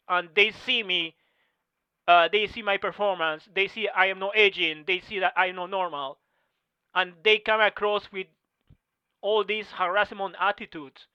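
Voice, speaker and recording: A middle-aged man whose first language is neither English nor French, giving halting testimony full of pauses and restarts over a video call. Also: sits high, clear, resonant tone, no echo. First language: not English or French. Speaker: Masters track and field athlete. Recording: slightly muffled video call audio.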